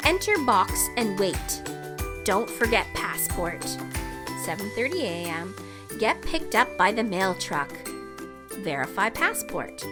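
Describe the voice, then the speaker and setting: A woman reads a picture book aloud for young children. She takes her time, with a highly animated pitch that rises and dips for emphasis. Children's music plays clearly behind her voice. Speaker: children's story reader.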